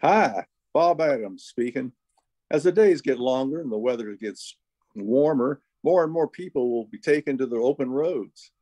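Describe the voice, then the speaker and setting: A man playing an average, folksy Southern husband pitching a product in an amateur old-time radio commercial. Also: animated, normal speed, mellow, close to an everyday voice.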